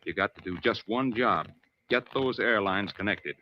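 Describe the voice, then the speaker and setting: An actor playing a steady, commanding navy diving officer in an old-time radio drama, giving terse, firm, reassuring orders. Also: male voice, fairly low pitch, normal speed, muffled recording, a little hiss or hum, natural voice. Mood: reassuring, instructive.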